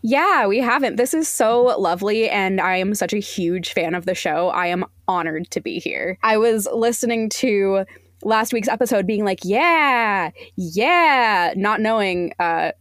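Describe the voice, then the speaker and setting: A woman's voice: mid-range voice, measured pace, fairly bright tone, no echo, studio quality. A woman from Arizona speaking as a podcast guest in casual interview chat, opinionated, wry and sweary. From Arizona, United States.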